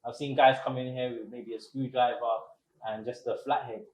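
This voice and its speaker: A man, a restaurant owner answering interview questions in a relaxed, measured way with many pauses. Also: medium pitch. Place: small restaurant. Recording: roomy echo.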